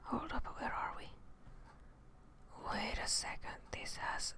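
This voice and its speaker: A woman whispering ASMR gameplay commentary close to the mic in murmured asides, often repeating words. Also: takes it slowly. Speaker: gaming streamer. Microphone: close microphone in front of her, headphones on.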